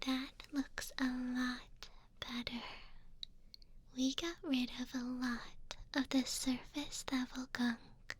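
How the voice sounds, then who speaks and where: Woman acting doting elf, slow soft whisper. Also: high-pitched, fairly even intonation, slightly rough, no echo, full character voice. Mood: soothing, attentive. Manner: tender, coaxing, reassuring. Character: two doting female elves, gentle caring ear cleaners. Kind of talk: whispered ASMR roleplay. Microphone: close binaural ear microphone. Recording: close binaural mic.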